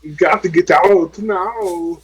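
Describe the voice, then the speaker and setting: A man's voice: sits high, takes it slowly, a crowd in the background, poor audio. A man at a tabletop role-playing game, throwing in casual interjections.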